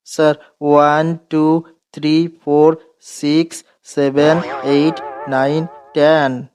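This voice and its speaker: A man's voice: on the high side, level tone, takes it slowly, crowd noise. A man voicing a dim, earnest schoolboy in a comedy cartoon, naive in manner.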